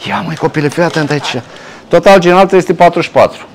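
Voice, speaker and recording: A man's voice: fairly high pitch, slight background noise. A middle-aged man reporting from inside a delicatessen, joking and teasing as he questions the staff. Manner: jokey, teasing, animated. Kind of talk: lively walk-through interview in a shop. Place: small delicatessen shop indoors.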